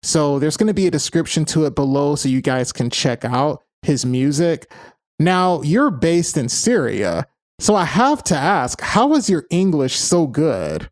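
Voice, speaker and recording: A man's voice: on the high side, sing-song, a little slow, no room sound. A man hosting a podcast interview. He opens with upbeat, announcer-style introductions and asks follow-up questions. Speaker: podcast host. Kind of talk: hosted interview.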